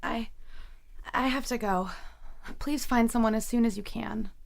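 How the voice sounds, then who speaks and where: A woman playing a haunted, frightened young student in an audio drama, earnest and halting as she pleads. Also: fairly high pitch, gravelly. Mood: anxious. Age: young adult.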